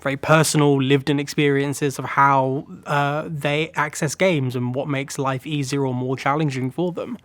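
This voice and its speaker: An earnest man answers interview questions thoughtfully, hedging his points as he goes. His voice sits fairly high for a man. The sound is dry and close, with no room around it. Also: no room sound. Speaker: accessibility consultant and teacher of the deaf.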